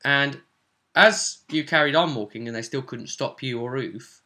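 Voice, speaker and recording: A man's voice: medium pitch, moderate pace, bright, forceful tone, a little hiss or hum. A man giving a casual, rambling recap of a tabletop role-playing session as the game master.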